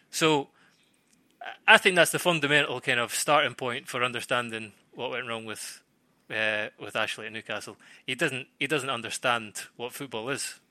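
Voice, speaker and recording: Male podcast co-host, mid-pitched and strikingly sharp in tone, talking through sports analysis in halting, reworked phrases. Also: no room sound. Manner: halting, self-correcting.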